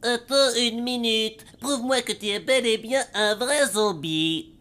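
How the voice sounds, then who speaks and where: A woman's voice: on the high side, unhurried, a bit bright. A woman voicing an earnest, curious and sceptical schoolchild in a French cartoon. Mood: curious, eager. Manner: earnest, matter-of-fact, curious. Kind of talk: French animated cartoon dubbing.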